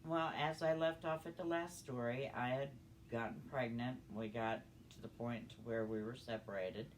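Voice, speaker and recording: A woman's voice: extremely low pitch, steady tone, moderate pace, low-quality recording. Older woman reminiscing, noticeable background noise. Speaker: storyteller grandmother. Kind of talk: personal reminiscence, conversational storytelling.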